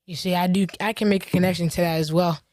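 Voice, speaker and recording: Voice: mid-range voice, fairly even intonation, fast, a little mellow, no room sound, studio quality. A podcast host asking direct questions in a casual interview.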